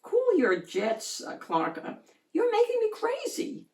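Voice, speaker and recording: A woman voicing an excitable, easily alarmed young boy fish in a children's storybook, exclaiming and exasperated. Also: very high voice, slow pace, echoey room, pitched above the performer's own voice, full character voice.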